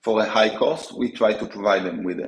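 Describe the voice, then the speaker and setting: A man with an Israeli accent, a company co-founder answering interview questions, halting with frequent fillers and restarts. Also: on the low side, reverberant, poor audio. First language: Hebrew. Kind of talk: business interview.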